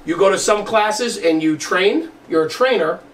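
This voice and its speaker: A man's voice: high-pitched, moderate pace, fairly bright tone. A man hosting a casual studio podcast, speaking in an earnest, emphatic way. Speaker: podcast host. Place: studio room. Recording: roomy, distant mic, faint background noise.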